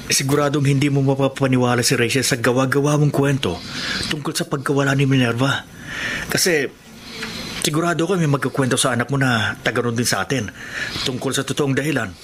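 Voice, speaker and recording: A man's voice: medium pitch, fairly even intonation, brisk, fairly bright tone, close to an everyday voice. An actor playing a concerned, insistent, gossipy male friend in a radio drama. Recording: noisy radio drama recording.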